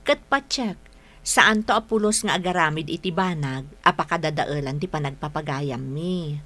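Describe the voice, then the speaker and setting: A woman's voice: sits low, moderate pace, sharp tone. A woman with an Ilocano accent playing a married young mother in a radio drama, dutiful and firm but easily flustered. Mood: reassuring.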